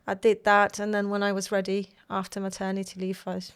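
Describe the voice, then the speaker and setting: Smooth-voiced Polish woman telling stories. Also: mid-range voice, completely flat delivery, quick pace. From Poland. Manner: laughs often, chatty storytelling. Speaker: mortgage broker and company founder. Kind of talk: casual interview chat.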